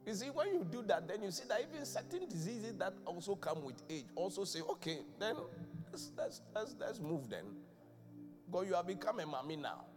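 Man, bishop, sermon, high voice, quizzing the congregation, keyboard music behind. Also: very animated, normal speed. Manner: conversational preaching, quizzing the congregation. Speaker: bishop preaching. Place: church service, live. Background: keyboard music under the voice.

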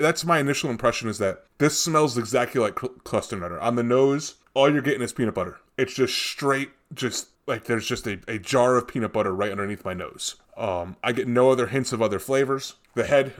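A man's voice: mid-range voice, a bit bright. Rambling male beer podcast host. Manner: rambling, tangential, with many fillers and restarts. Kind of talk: casual chat.

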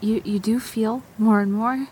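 Actress as tough, higher-voiced woman survivor. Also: fairly even intonation, unhurried, darkish tone, slight background noise, natural voice. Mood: softened, protective. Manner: intense, confrontational, earnest. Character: tough, protective, conflicted young woman survivor. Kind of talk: audio drama acting.

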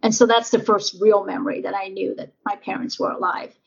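A husky woman with a gravelly, fry-laden voice, telling her life story in an interview. She talks in halting, reflective bursts full of fillers, and the recording sounds dull and lo-fi. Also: medium pitch, steady tone. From Vietnam, raised in Nebraska. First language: English; Vietnamese basic. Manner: halting, many fillers and restarts. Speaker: interview guest. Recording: dull lo-fi audio.

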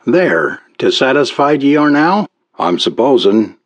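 A man's voice: mid-range voice, big pitch swings, unhurried, voice pushed up. A man voicing an indignant, grumbling Irish patrolman with an exclamatory, folksy way of talking. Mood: aggrieved.

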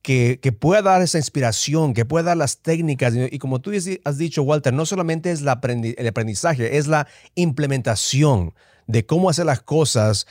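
A man, co-hosting a podcast casually, often halting and rephrasing as he talks. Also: medium pitch, a little mellow, no echo, studio quality. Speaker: podcast co-host. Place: remote call.

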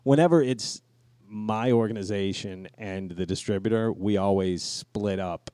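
Low-voiced man chatting casually, dry close sound. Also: unhurried, warm tone, clean tone, no room sound. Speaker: podcast co-host. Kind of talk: casual podcast chat with profanity.

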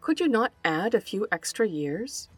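A woman's voice: medium pitch, sing-song, measured pace, slightly rough, no echo, faint music behind. A woman voicing the first man in a storybook fable, speaking eagerly and hopefully. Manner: eager, questioning.

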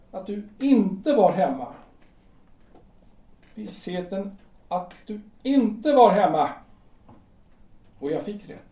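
An actor playing an anguished, brooding husband in his forties in a period audio drama, halting and repeating his phrases with theatrical emotion. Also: high-pitched, mellow. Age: 40s. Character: anguished middle-aged husband, brooding, insistent, self-doubting. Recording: roomy, distant from microphone.

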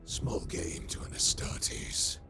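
An actor playing a pragmatic traitor officer who talks in a dry, matter-of-fact way. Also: male voice, slow, lightly acted. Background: music.

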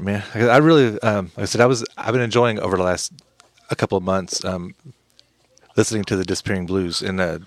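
A man's voice: lowish voice, no room sound. A man hosting a podcast, chatting in a rambling, friendly way with many restarts. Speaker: podcast host. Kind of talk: casual podcast chat.

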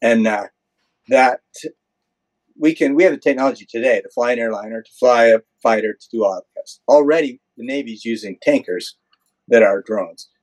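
A man's voice: medium pitch, normal speed, darkish tone, low-quality recording. An older American man, an airline pilot being interviewed, explaining things in a conversational, matter-of-fact way. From United States.

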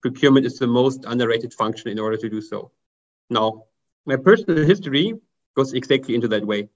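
A man from Germany with a German accent, a guest author answering interview questions in measured, slightly formal phrasing over a video call. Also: mid-range voice, muffled recording. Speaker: guest author, procurement consultant.

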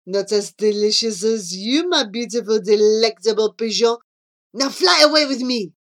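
A woman's voice: a little high, moderate pace, a bit bright, lightly acted. A woman voicing an amorous, theatrical male pigeon from a children's storybook, with a French accent and flowery, grand romantic speech. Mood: ardent wooing. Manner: florid, grandly romantic, easily distracted.

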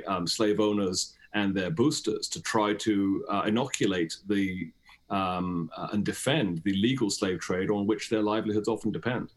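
A man with a British accent, speaking as an academic guest in an explanatory, discursive and emphatic interview style. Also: on the low side.

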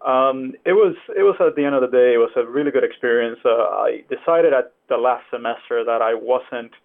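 A man's voice: medium pitch, brisk. A young man from Cuba, an attorney, answering interview questions casually with frequent ums and uhs. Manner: hesitant, many fillers. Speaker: guest attorney. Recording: tinny phone-line sound.

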